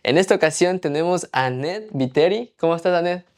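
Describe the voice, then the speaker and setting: A woman with a notably deep voice hosts the podcast and guides the conversation. She asks her questions in a relaxed, encouraging way and affirms what her guest says. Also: brisk. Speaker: podcast host, interviewer. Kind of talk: conversational interview.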